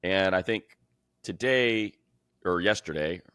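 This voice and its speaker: A man's voice: sits low, takes it slowly, a bit bright, clean tone, no room sound, clean studio sound. A man co-hosting a sports podcast, breaking down football in casual, colloquial talk.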